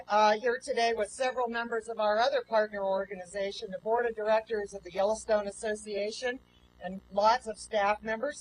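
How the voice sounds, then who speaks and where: A woman giving a formal welcome speech and introducing guests as host of a ceremony. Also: medium pitch. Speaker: park superintendent, ceremony host. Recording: noisy live outdoor event feed.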